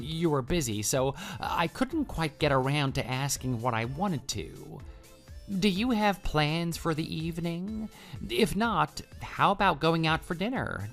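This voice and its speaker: A man's voice: fairly high pitch, a bit bright, exaggerated voice. An actor playing a clingy, lovestruck male coworker in a radio drama, with a flowery, gushing manner that turns stammering when flustered. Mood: nervous, excited. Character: clingy, lovestruck male office coworker who can't take hints. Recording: voice over background music.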